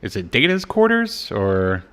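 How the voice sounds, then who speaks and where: A man co-hosting a podcast, casually recapping a TV episode in a halting, irreverent way with trailing asides. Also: mid-range voice, huge pitch swings, a bit bright, clean tone. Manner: irreverent, jokey, profane. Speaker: podcast co-host.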